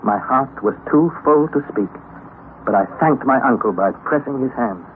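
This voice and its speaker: A man's voice: medium pitch, animated, moderate pace, lightly acted. A man acting a despairing young explorer, tinny old radio. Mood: moved, amazed. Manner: formal, anguished, theatrical delivery. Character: weary, despairing young nephew narrating a perilous expedition. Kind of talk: dramatic first-person radio play narration. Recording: noisy, thin vintage radio recording.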